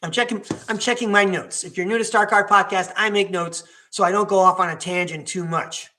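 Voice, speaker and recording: A man's voice: sits high, fairly even intonation, a little fast, pushed and bright, slight background noise. An older man, hosting a podcast in a chatty, rambling way with many fillers and restarts. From New York, United States. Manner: digressive, chatty, many fillers and self-corrections. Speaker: podcast host. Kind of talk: rambling solo talk.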